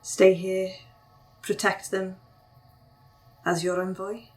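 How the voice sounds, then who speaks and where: A woman playing a cunning cursed woman who takes raven form, wry and measured as she bargains. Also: mid-range voice, level tone, a little mellow, soft background music, lightly acted, rough recording.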